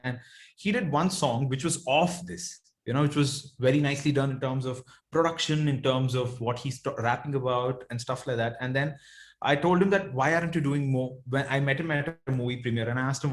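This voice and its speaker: A man with a South Indian accent talks as a guest in an interview. His voice sits at a medium pitch. He is reflective and informal, often checking in with the listener as he explains. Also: brisk. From Bengaluru, India. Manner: reflective, checks in with "you know" and "right". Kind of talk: casual interview conversation.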